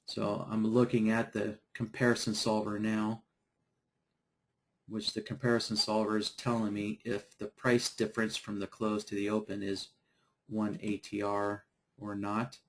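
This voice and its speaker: A man teaching trading software in a live online session, losing his voice from a dry throat and clearing it often, talking in a halting, unhurried way. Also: on the low side, completely flat delivery. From Idaho. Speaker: software trainer. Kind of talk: live webinar screen-share tutorial.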